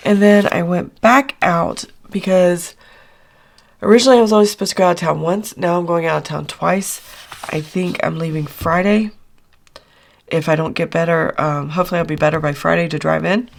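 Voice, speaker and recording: A middle-aged woman, hoarse after losing her voice, chatting casually through her weekly budget check-in with lots of fillers. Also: fairly low pitch, fairly even intonation, normal speed. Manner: chatty, rambling with many fillers. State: hoarse, recovering from lost voice. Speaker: budgeting channel host.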